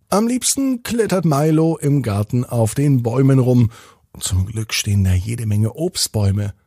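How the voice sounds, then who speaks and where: Slow male bedtime storyteller with gravelly edge. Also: mid-range voice, warm tone, no room sound, clean studio sound. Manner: slow, deliberate phrasing with dramatic pauses. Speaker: podcast host and storyteller. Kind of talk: children's bedtime story narration.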